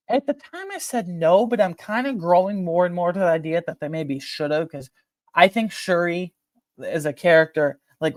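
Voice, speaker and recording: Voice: medium pitch, dry recording. A video host talking casually and emphatically through a film ranking, with opinionated, slangy, conversational delivery. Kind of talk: casual, opinionated movie commentary to viewers.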